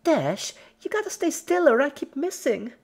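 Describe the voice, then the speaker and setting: A woman's voice: sits high, big pitch swings, moderate pace, vocal fry, a little mellow, pitched above the performer's own voice, full character voice. A woman voicing a manic, cheerful young female pony villain who chatters in a bubbly way and scolds petulantly. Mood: scolding.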